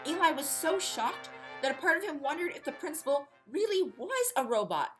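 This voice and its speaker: A woman's voice: high-pitched, sing-song, brisk, a bit bright, faint music behind. A woman reading scripted fiction as the narrator of a story podcast.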